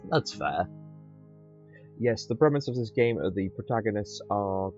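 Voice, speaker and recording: A man's voice: fairly low pitch, animated, a little slow, a little mellow, highs cut off, faint music behind. A man hosting a game playthrough, commenting casually with wry, conversational asides. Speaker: host of a gaming channel.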